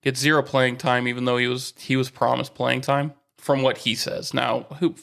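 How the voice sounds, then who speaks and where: A man's voice: medium pitch, flat delivery, moderate pace, vocal fry, fairly bright tone. Dry, conversational man talking sports. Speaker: sports podcast co-host. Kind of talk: casual sports chat.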